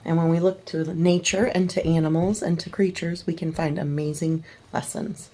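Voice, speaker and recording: A woman reading a children's picture book aloud at a church service, gently and slowly, with small restarts. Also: low-pitched, steady tone, poor audio. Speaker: story reader at a church service.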